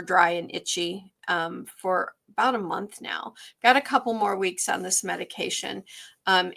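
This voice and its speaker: A slightly hoarse woman hosting a live online quilting workshop, chatty and upbeat, with frequent ums. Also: medium pitch, sharp tone.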